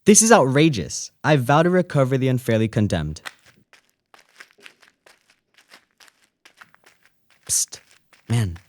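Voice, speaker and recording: A man's voice: mid-range voice, very animated, normal speed, darkish tone, lightly acted. A man playing an earnest, courteous and gallant young man in a radio play. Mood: urgent.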